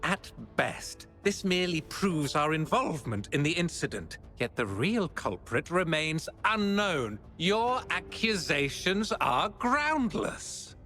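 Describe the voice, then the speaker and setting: A man's voice: on the high side, sing-song, sharp tone, soft background music, exaggerated voice. An actor playing a smug, scheming male art dealer who talks down to his accusers in court. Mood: condescending. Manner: slow, condescending, haughty. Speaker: defendant in court.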